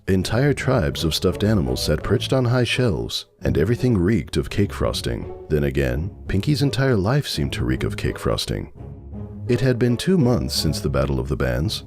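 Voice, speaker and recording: A man giving a scripted dramatic reading of a story as its narrator. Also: low voice.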